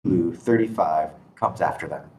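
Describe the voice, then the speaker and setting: A man's voice: low voice, moderate pace, warm tone. A man reading scripted stage directions as narrator at a screenplay table read. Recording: constant background noise.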